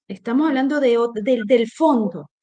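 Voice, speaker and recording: A woman's voice: on the high side, normal speed, warm tone, highs cut off. A woman from Venezuela with a Venezuelan accent, co-hosting a political discussion in an emphatic, opinionated way, often restarting her phrases. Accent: Venezuelan Spanish. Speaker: co-host.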